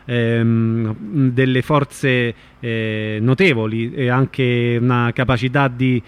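A man hosting a casual live radio interview, feeling his way haltingly through his questions. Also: medium pitch, little pitch movement, clean tone.

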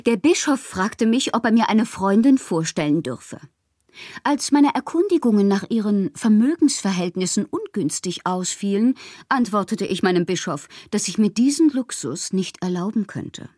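An actress in a radio drama playing a lonely, whimsical bohemian woman writer, intimate and wistful, with a husky, breathy voice that often drops to a whisper. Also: mid-range voice, expressive, moderate pace, darkish tone, no echo, studio quality, close to an everyday voice. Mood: whimsical, anxious. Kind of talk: literary radio monologue.

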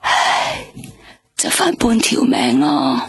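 An actress playing a streetwise, sharp-tongued woman from a karaoke bar in a radio drama. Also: low-pitched, wildly swooping pitch, slow pace, slightly rough, heavily acted. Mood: weary. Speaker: witness questioned by police. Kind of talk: Hong Kong radio drama acting.

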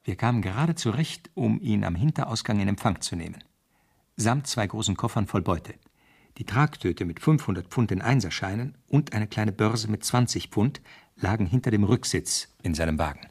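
Junior cop in a radio play, slightly rough husky voice, sober and to the point. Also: male voice, fairly low pitch, lively intonation, normal speed, a little mellow, full character voice. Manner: matter-of-fact, deferential. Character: dutiful police subordinate, narrating the case soberly. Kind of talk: radio crime drama acting and narration.

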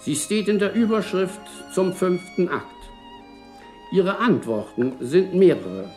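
A man speaks formally and deliberately. His voice is high and moves widely in pitch at a slow pace, with faint bowed strings behind. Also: expressive, a little mellow, soft background music.

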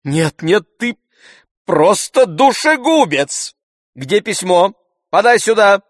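An idle gentleman of the house , acted out by a male narrator, indignant and querulous. His voice climbs high and swings widely as he whines and scolds in a dramatic audiobook. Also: big pitch swings, slow, no room sound, clean studio sound, full character voice. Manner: indignant, whining exclamations.

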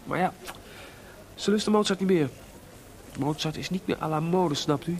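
A man acting in a radio play as an excitable, aggrieved composer short of money, stammering and exclaiming. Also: a little high, moderate pace, natural voice. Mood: frustrated. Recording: noisy radio play recording.

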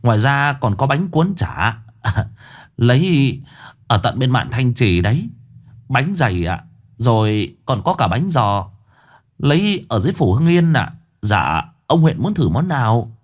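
A man's voice: medium pitch, big pitch swings, measured pace. A man voicing an eager, fawning male shopkeeper who speaks very politely.

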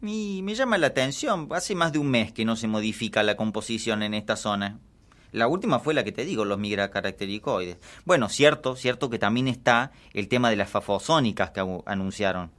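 A man playing an earnest, pedantic explainer in a deadpan absurd radio comedy sketch. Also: fairly low pitch, fairly quick.

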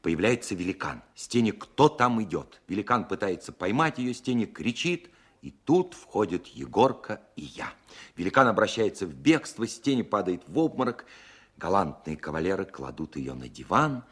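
An actor playing a grown composer who recalls his childhood opera in a radio play, narrating in a wry, expressive way. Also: lowish voice, normal speed, slightly rough. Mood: amused recounting. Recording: 1970s studio record with prominent music.

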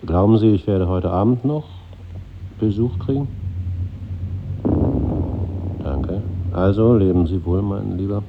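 Wartime colonel, fairly deep voice, controlled and resolute, vintage radio drama, faint noise. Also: male voice, moderate pace. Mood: controlled, tense. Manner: clipped, controlled. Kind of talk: 1950s German radio drama acting. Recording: dull old radio recording, slight noise.

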